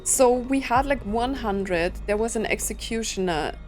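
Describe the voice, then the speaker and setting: A woman's voice: a little high, measured pace, darkish tone. A woman streaming a video game with casual commentary, musing aloud in a puzzled way. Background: video game music.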